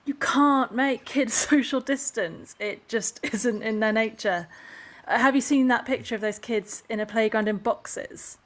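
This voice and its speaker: Casting: woman playing harried mum, fairly high voice, exasperated and worried, short-film monologue. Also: measured pace, lightly acted. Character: exasperated, worried homeschooling mother.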